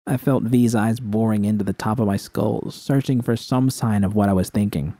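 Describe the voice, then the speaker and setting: A man's voice: fairly low pitch, steady tone, moderate pace, dark tone, no echo. A man reading a first-person dramatic story as its narrator. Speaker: narrator reading fan fiction.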